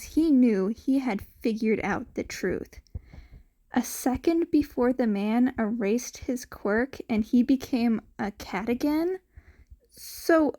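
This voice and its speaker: High-voiced woman reading fiction, unhurried. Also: warm tone. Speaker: podfic narrator. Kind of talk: scripted fiction narration.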